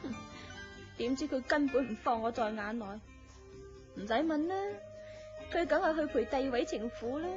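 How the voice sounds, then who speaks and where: High-voiced coquettish young mistress played by an actress. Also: female voice, moderate pace, long pauses, lightly acted. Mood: pouting, resentful. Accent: Hong Kong Cantonese. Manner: coquettish, pouting. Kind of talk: Cantonese radio drama acting. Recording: muffled lo-fi radio drama, music behind.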